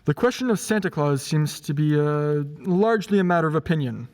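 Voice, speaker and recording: A man playing a dignified, weary judge in an amateur radio play, formal and measured. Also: on the high side, a little mellow, natural voice. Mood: formal, authoritative. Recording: long hall-like reverb.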